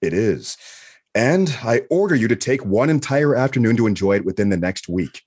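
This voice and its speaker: A man acting a calm, benevolent space admiral with a husky voice and a reassuring manner in an audio drama. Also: lowish voice. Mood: reassuring, congratulatory. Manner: measured, reassuring.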